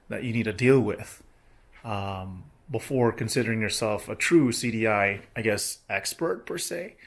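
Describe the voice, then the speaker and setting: A middle-aged man with a hoarse, sore voice, rambling casually in a video diary about his work. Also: mid-range voice, fairly bright tone. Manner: rambling, many restarts and fillers. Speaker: medical coding vlogger.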